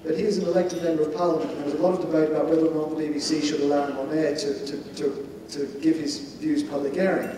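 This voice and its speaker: A man giving a considered, discursive panel answer in a measured, explanatory and opinionated way. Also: fairly high pitch, level tone, crowd noise. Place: large indoor conference hall. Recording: echoey hall, distant mic, faint noise.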